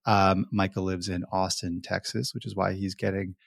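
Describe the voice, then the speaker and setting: A man's voice: low-pitched, level tone, normal speed, gravelly, dry recording, clean studio sound. A man hosting a podcast, giving playful, teasing introductions to his guests over a video call. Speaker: podcast host. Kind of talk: casual podcast chat.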